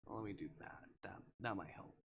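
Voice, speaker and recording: A man's voice: low-pitched, brisk, gravelly, a bit bright, a little hiss or hum. A man hosting a casual gaming livestream, rambling and often repeating words and phrases in singsong bursts. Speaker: livestream host.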